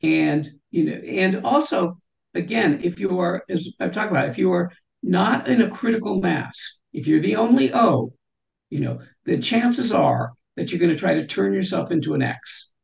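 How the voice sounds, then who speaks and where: Older woman, raspy gravelly voice, lots of restarts, talking over a video call from home. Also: on the low side, expressive, normal speed, a little mellow, muffled recording. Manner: gestures widely, many restarts and "you know". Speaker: guest. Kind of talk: interview conversation. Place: home room with shelves.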